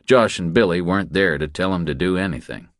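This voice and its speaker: A man's voice: deep voice, brisk, no echo, clean studio sound. A man reading a thriller audiobook as scripted narration.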